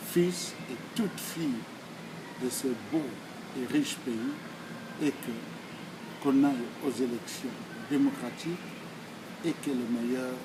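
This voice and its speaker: A man in his sixties with a Congolese accent, giving formal answers in a deliberate, emphatic, oratorical way, phrase by phrase. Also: medium pitch, background noise. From Democratic Republic of the Congo. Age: 60s. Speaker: speaker answering questions.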